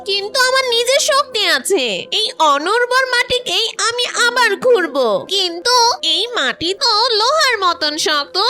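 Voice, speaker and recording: Tiny, very high-pitched earnest bird. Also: female voice, pushed and bright, exaggerated voice. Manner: earnest, pleading. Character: small hardworking female tuni bird, earnest and plucky. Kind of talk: children's cartoon dialogue.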